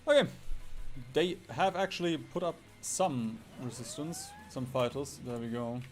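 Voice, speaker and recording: A man's voice: medium pitch, wildly swooping pitch, measured pace, a little mellow. A man doing casual gaming stream commentary in a rambling, jokey way, swearing casually. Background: background music.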